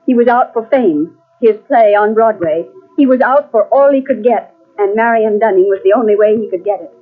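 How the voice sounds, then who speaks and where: An actress in an old-time radio mystery playing a guarded, principled secretary who speaks with formal restraint. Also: female voice, on the high side, moderate pace, natural voice. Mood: grave recounting. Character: guarded, principled female secretary narrating her ordeal. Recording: tinny, band-limited old radio cassette transfer.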